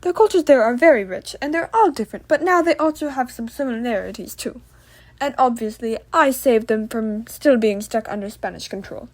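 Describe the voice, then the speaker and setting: A woman's voice: fairly high pitch, brisk. A woman playing a proud, self-satisfied male revolutionary leader being interviewed in a comedic puppet show. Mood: explaining proudly. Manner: boastful, matter-of-fact.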